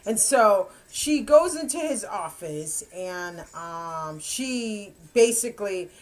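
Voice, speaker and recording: A woman's voice: medium pitch, expressive, slow pace. A woman in her fifties giving a rambling, casual book review, often correcting herself and laughing. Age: 50s.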